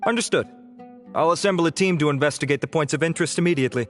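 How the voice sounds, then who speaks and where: An actor voicing a brisk, respectful male officer in a game. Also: a little high, a bit bright, faint music behind, lightly acted.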